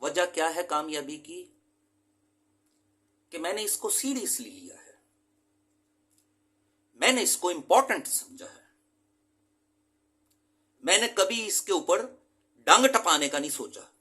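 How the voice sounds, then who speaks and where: A man's voice: high-pitched, lively intonation, slow pace, long pauses, bright, forceful tone. A man from Pakistan, an English teacher, giving an earnest, emphatic lecture to his students and mixing English terms into his talk.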